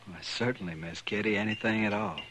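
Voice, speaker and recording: Casting: male actor as world-weary drifting guitarist, deep forceful voice, courteous and reserved, old-time radio Western. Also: slight background noise, natural voice, rough recording. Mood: gentle, wistful. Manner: polite, reserved, unhurried. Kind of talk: 1950s Western radio drama acting.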